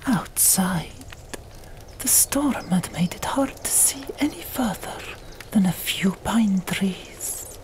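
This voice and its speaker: Whispering woman reading a story very slowly. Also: mid-range voice, expressive. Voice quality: breathy, whispered. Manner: hushed, deliberate, pausing between short phrases. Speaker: audiobook narrator. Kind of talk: whispered ASMR storytelling, scripted narration.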